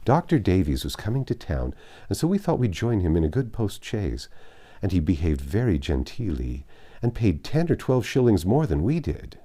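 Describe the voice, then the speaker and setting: A man's voice: deep voice, sing-song, normal speed, warm tone. A man voicing a vain, silly chatterbox of a woman in an affected, simpering manner for a novel reading. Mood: exultant.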